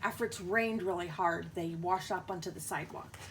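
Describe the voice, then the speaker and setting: A woman's voice: lowish voice, fairly quick, fairly bright tone, slight background noise. A woman teacher reading a picture book aloud, explaining things simply and putting questions to young children. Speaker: schoolteacher reading aloud to pupils.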